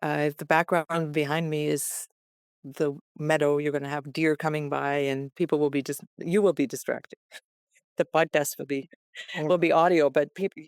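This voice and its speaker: A woman's voice: low-pitched, steady tone, moderate pace, clean tone, no room sound, clean studio sound. An American woman in her sixties from Los Angeles, a chatty guest who laughs often, talking over a remote call from a farmhouse attic. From West Los Angeles, USA. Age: 60s. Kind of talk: conversational interview.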